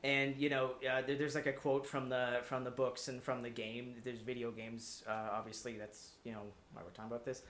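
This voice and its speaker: A man chatting casually on a podcast as a guest, explaining things in a halting, rambling way with repeated words. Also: medium pitch, flat delivery, fairly bright tone. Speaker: podcast guest pitching a film adaptation. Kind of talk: casual chat. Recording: echoey room.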